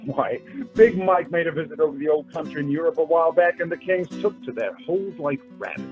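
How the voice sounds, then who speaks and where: Man acting earnest young suitor, husky and high-pitched. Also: a little fast. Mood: awed, boastful. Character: earnest, awestruck young working-class New York suitor Andy. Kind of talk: radio play acting. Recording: muffled lo-fi audio with music behind.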